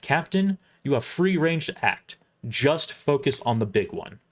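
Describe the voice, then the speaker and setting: A man's voice: mid-range voice, very animated, measured pace. A man playing a blunt, wary space fleet admiral giving commands in a sci-fi audio drama. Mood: urgent command.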